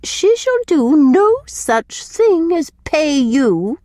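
A woman voicing an imperious old mother-in-law in an audiobook, scolding with clear authority and emphasis. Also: a little high, wildly swooping pitch, normal speed, no echo, clean studio sound. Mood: commanding, indignant. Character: imperious old mother-in-law, bossy, resolute, secretly amused. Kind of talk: audiobook character voice.

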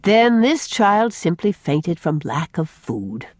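A woman voicing a brisk, kindly male doctor, matter-of-fact and decisive, in a storybook. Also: lowish voice, big pitch swings, a little mellow, dry recording, studio quality, full character voice. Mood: relieved.

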